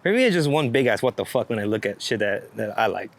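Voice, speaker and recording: A man's voice: lowish voice, animated, quick pace. A man talking casually as an interviewed photographer, rambling and enthusiastic, with slangy speech and plenty of swearing. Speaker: street and landscape photographer being interviewed. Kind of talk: casual unscripted interview chat. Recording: clear background noise, outdoor ambience.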